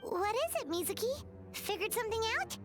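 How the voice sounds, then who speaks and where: Anime game fairy companion: childlike, squeaky, very high, animated, easily spooked, music behind. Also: female voice, brisk. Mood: curious. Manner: refers to herself in third person, expressive. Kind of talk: anime video game voice acting. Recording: game audio under loud music.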